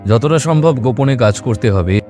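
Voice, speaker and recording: A man playing a serious, confident detective in an audio drama, speaking in a measured, firm way. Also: medium pitch, lively intonation, mellow, faint music behind, natural voice. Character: serious, steady male detective, confident leader. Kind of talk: Bengali audio drama acting.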